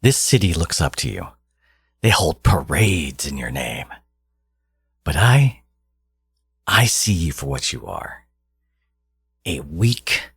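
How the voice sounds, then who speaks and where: A man playing a theatrical, possessive supervillain in a scripted audio roleplay, scornful and grandiose toward his archnemesis, with a husky voice. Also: sits low, no echo, full character voice. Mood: grandiose, contemptuous. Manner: grandiose, exasperated, dramatic emphatic delivery.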